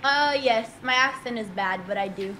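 Young woman from Canada, high voice, crisp bright tone, playful deadpan teasing, casual stream chat. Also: normal speed, slight background noise, rough recording. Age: young adult. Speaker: streamer co-hosting a speed date. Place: indoor room with plants.

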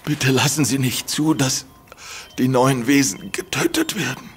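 An actor playing an infected man who fears going mad, pleading in halting, stumbling bursts in a radio play. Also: husky. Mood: desperate urgent. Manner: halting, stumbling pleas, pitch jumping when agitated. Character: infected man fearing madness, urgent and desperate.

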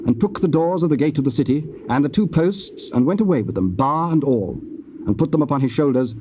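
A man giving a solemn, measured scripted narration of scripture. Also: a little high, lively intonation. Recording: muffled, noisy old cassette transfer.

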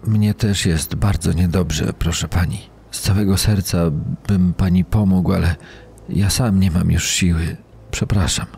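An actor playing a dying mountain guide, faltering and pleading, in a podcast dramatization. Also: male voice, deep voice, fairly even intonation, a little slow, gravelly, darkish tone, slightly rough, full character voice. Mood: weak, apologetic. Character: weakened dying mountain guide, delirious and apologetic man. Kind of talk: dramatized true-crime podcast acting.

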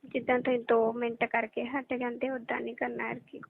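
A woman's voice: a little high, completely flat delivery, a little fast, creaky, slightly rough, no echo. A woman with a Punjabi accent chatting casually and intimately on a phone call. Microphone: telephone. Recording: narrowband tinny phone-call audio.

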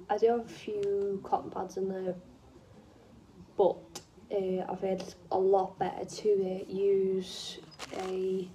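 A woman's voice: mid-range voice, warm tone, slight background noise, poor audio. A young woman with a northwest English accent chats casually to camera in a rambling, self-deprecating way, recorded on a phone at home. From northwest England. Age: young adult. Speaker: vlogger. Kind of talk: casual chat to camera while doing makeup. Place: indoors in a room at home. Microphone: phone propped close in front.

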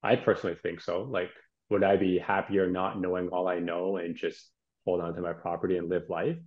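Casting: man, deep register, conversational panelist, heard through lo-fi call sound. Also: little pitch movement, fairly quick. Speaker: real estate professional, panelist. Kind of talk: casual panel discussion. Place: indoors, on a remote video call. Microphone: video call, earbuds in view. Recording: dull, lo-fi video call audio.

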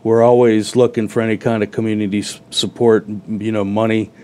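A middle-aged man with a low, mellow voice explains things in a plain, practical way. He is a guest in a small TV studio, talking over a lapel mic. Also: level tone, fairly quick. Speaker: event organizer guest. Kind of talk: casual interview chat. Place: TV studio set.

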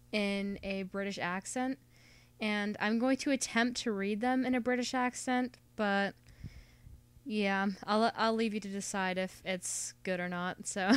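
A woman's voice: medium pitch, clean tone, no room sound. A woman who is an amateur voice actor, casually introducing an audition.

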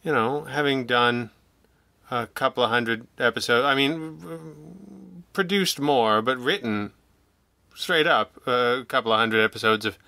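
A man with a bright, forceful voice in a very dry recording. He chats casually as an interview guest, feeling his way haltingly through digressions and self-interruptions. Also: mid-range voice, vocal fry, dry recording. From Canada. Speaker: podcast guest, audio drama writer and producer.

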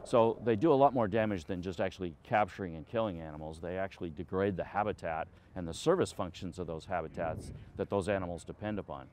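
A man speaking in a documentary interview in a measured, explanatory manner. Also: low voice, a little mellow. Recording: faint engine and outdoor noise.

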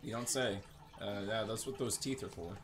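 A man's voice: on the low side, moderate pace. Sarcastic man rambling over gameplay, noisy audio. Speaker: gaming video host. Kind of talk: casual gameplay commentary.

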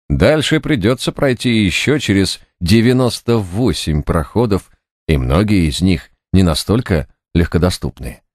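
A man reading fiction as an audiobook narrator, with an expressive, wry, dramatic delivery. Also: low-pitched, dry recording, studio quality. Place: studio, dry and close.

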